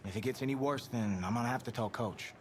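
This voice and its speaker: A man's voice: medium pitch, fast, lightly acted. An actor playing an earnest young male quarterback with a New Jersey accent, heard through game audio. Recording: game audio with background noise.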